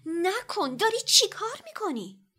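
A woman's voice: medium pitch, lively intonation, fairly bright tone. A woman voicing a polite, slightly hesitant teenage boy wizard in an audiobook. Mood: alarmed. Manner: hesitant, polite, whispering urgently. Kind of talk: audiobook character voice.

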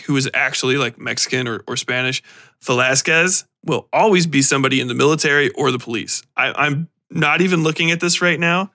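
A man's voice: mid-range voice, sharp tone. A man hosting a podcast, rambling through casual film commentary in a profane, exasperated, sarcastic way. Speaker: podcast host.

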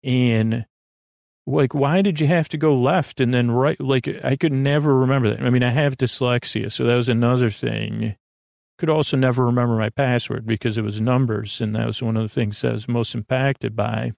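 A middle-aged American man hosting a sleep podcast in a soothing, meandering monologue, halting, interrupting himself and trailing off. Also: medium pitch, steady tone, gravelly, darkish tone, dry recording, studio quality.

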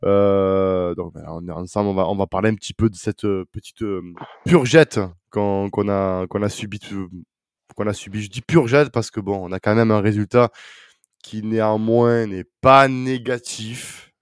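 A man's voice: on the low side, normal speed, clear, resonant tone, no room sound, clean studio sound. A man hosting a casual football podcast, talking in a hesitant way with many fillers and restarts. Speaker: podcast host.

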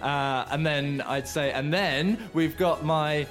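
A man's voice: a little high, takes it slowly, a bit bright, faint music behind. Man talking through a sore throat. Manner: dry, teasing, chuckles often. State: has a cold and sore throat. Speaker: podcast co-host. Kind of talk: casual chat.

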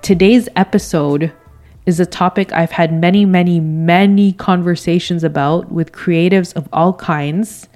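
A Canadian woman in her thirties with a low-pitched voice talks alone as a podcast host. Her delivery is conversational and candid, with casual slang mixed in. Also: steady tone, unhurried, a little mellow, soft background music. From Toronto, Canada. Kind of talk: solo podcast monologue, conversational.

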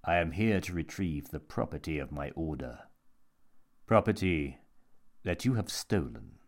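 A man's voice: extremely low pitch, expressive, measured pace, voice pushed down, exaggerated voice. A man voicing a sinister monk and self-styled holy fool in an audiobook, formal, grandiose and menacing. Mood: smooth menace. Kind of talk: audiobook character voice.

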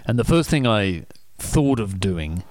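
This is a middle-aged man in relaxed conversation, poking fun at himself and breaking into laughter. His pitch leaps high and drops low as he talks. The sound is dry and close to the mic. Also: a little slow, no room sound. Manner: self-deprecating, laughs often, many restarts. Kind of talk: casual conversational storytelling.

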